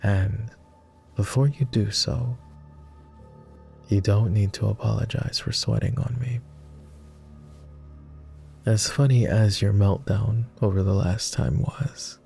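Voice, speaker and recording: A man voicing a gentle, devoted vampire, speaking softly and formally in an intimate roleplay ASMR style. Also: on the low side, steady tone, slow, long pauses, faint music behind. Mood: tender, caring. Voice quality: soft, gravelly. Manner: posh, formal, tender. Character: gentle, teasing male vampire, devoted and wistful.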